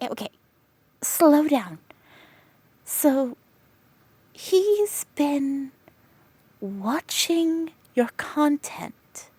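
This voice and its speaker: A woman playing a protective young female friend in an audio roleplay, scolding in exasperation and then whispering soft reassurance. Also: high voice, no echo, full character voice. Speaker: comforting friend.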